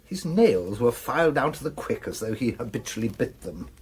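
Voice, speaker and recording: An actor playing an earnest, methodical police detective with an English accent, reporting facts briskly in a radio drama. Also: male voice, medium pitch, very animated, breathy edge. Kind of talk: BBC radio drama acting.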